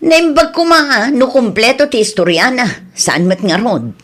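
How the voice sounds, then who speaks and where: An exasperated Filipino woman acted by a woman with a high voice that swings up and down. She scolds emphatically, in the manner of Ilocano comedy radio drama. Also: animated, a little slow. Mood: indignant.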